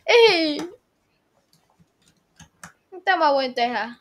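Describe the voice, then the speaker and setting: High-pitched woman reacting dramatically to a game. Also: sing-song. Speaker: gamer playing and reacting. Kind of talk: excitable reactive gameplay chat.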